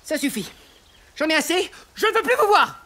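A woman voicing a flustered, blustering, hapless fox in a French animated film, with stammers and theatrical bursts. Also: sits high, normal speed. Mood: exasperated.

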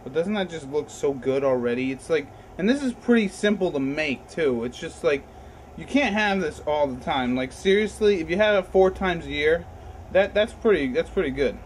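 Young male vlog host, easygoing kitchen chatter, slightly high voice, camera audio. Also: expressive, moderate pace, a little hiss or hum, low-quality recording. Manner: chatty, loose and enthusiastic. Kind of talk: casual cooking vlog. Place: home kitchen.

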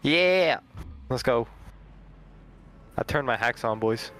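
A man's voice: medium pitch, expressive, takes it slowly, fairly bright tone, slight background noise. A young man in a game party over voice chat, joking and boasting with trash talk. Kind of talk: casual gaming chat.

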